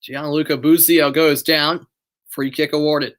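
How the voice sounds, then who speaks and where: A man's voice: fairly high pitch, little pitch movement, unhurried, fairly bright tone. A young American man giving live play-by-play commentary from his bedroom, chatting with viewers between plays and building up on shots. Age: young adult. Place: bedroom at home.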